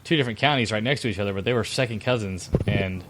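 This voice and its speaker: A man's voice: on the low side, fast, noisy background. A man, co-hosting a podcast, joking crudely and swearing freely in casual chat. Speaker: podcast co-host.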